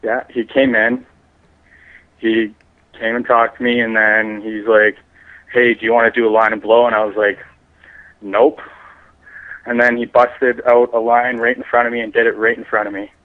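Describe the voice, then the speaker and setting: A man's voice: mid-range voice, flat delivery, normal speed, pauses often. Defensive man on a narrow phone line. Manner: defensive, insistent. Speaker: prank call target. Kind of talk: answering questions on a phone call. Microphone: telephone.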